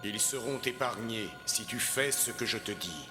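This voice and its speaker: A man as a low, solemn God. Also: music in the background, natural voice. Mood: solemn, commanding. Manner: measured, authoritative, unhurried. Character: calm, solemn, all-powerful male God. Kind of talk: animated film dubbing.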